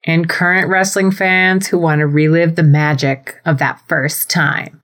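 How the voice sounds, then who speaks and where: A woman's voice: sits low, fairly even intonation, unhurried, fairly bright tone. A woman co-hosting a casual podcast, chiming in with enthusiastic, supportive remarks. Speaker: podcast co-host.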